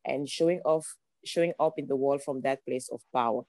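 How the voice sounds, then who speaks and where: A woman's voice: a bass voice, a little fast, dark tone, dry recording. A young woman from Cameroon with a Cameroonian accent, speaking as a podcast guest, earnest and reflective, building her points through repeated phrases. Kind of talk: podcast interview.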